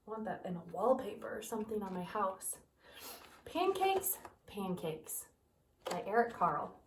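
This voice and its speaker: A woman who teaches kindergarten reads a picture book aloud, pausing to explain things gently to young children. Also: mid-range voice, sing-song, far from the mic, a little hiss or hum. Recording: echoey room, voice off-mic.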